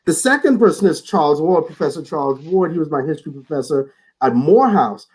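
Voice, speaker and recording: A man's voice: a little high, animated, fairly quick, a little mellow, breathy edge, low-quality recording. A man speaking as a guest in a reflective conversation over a video call, earnest, often repeating words as he starts a thought.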